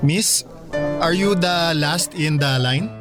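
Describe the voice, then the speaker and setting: A man's voice: a little high, slow, over loud music. A man playing a friendly, chatty young Filipino worker in a radio drama, with a Filipino accent. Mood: awkwardly friendly. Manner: casual, sociable.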